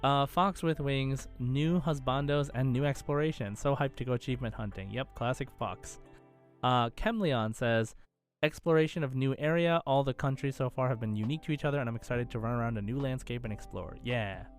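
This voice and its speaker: A man's voice: medium pitch, brisk, soft background music. A man co-hosting a casual gaming podcast, conversational and enthusiastic as he chats and reads out listener comments. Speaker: podcast co-host.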